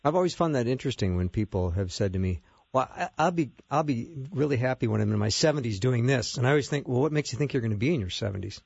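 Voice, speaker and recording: A man co-hosting talk radio, teasing his partner with quick deadpan jabs. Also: medium pitch, gravelly, husky, dull audio. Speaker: radio co-host.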